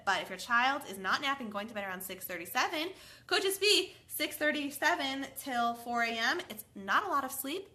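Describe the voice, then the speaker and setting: A woman's voice: medium pitch, sharp tone. A woman in her thirties giving sleep advice in a live question and answer session, chatty and upbeat, slightly congested from a cold. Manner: chatty, upbeat, addresses viewers by name. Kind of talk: live Q&A, reading comments aloud.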